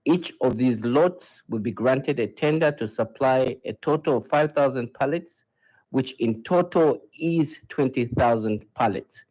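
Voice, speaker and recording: A man's voice: mid-range voice, unhurried, a little mellow. A man gives a prepared official statement as a spokesman, in a dull, lo-fi recording. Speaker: corporate communications spokesman. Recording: dull, lo-fi sound clip.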